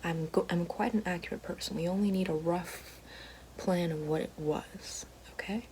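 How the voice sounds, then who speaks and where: A woman playing a vain, bitter horned evil fairy sorceress in a whispered and soft-spoken ASMR roleplay, with precise, coaxing delivery. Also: on the low side, normal speed, natural voice, poor audio. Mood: soothing, coaxing. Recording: soft whispering over loud background noise.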